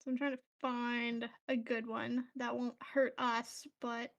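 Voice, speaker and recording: A woman's voice: on the high side, little pitch movement, unhurried, vocal fry, a bit bright, dull audio. A woman playing a tabletop fantasy game, chatting casually and thinking aloud.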